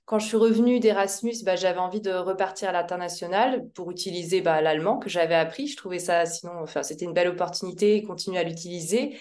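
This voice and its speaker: A woman in her thirties giving a casual career testimony on a video call, with relaxed fillers and small restarts. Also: lowish voice, fast talker, few pauses. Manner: casual, with fillers like bah and hein. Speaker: guest speaker.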